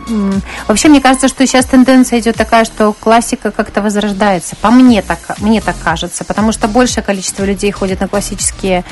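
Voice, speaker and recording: A woman speaking as an interview guest, giving opinions conversationally and often searching for words. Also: medium pitch. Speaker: interview guest, classical musician.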